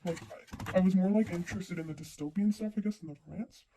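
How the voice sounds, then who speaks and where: Mellow, voice-altered casual book vlogger. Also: mid-range voice, fast, a little hiss or hum. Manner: rambling, informal, peppered with slang. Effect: voice-changing effect. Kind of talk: casual monthly reading wrap-up chat.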